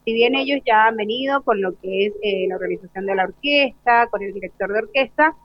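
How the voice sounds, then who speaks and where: A woman answering as a school director in halting, hesitant phrases. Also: medium pitch, dry recording. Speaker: primary school director being interviewed. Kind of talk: spontaneous spoken interview. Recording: dry, dull lo-fi broadcast.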